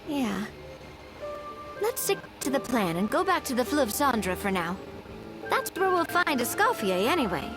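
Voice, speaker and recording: A woman's voice: high voice, sing-song, normal speed, music in the background, low-quality recording. A woman playing a friendly, poised young woman in an anime video game, breezy and reassuring. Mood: explaining.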